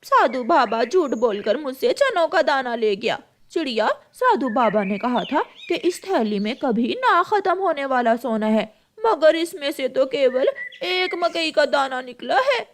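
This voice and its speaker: A woman's voice: high voice, very animated, measured pace, hardly any pauses, slight background noise. A woman voicing a scheming, thieving crow in a cartoon, with a wheedling manner.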